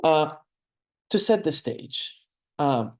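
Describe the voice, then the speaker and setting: A man's voice: on the high side, expressive, takes it slowly. A man in his forties with a Lebanese accent presents as an architect in an informal lecture, explaining things conversationally and checking in with listeners.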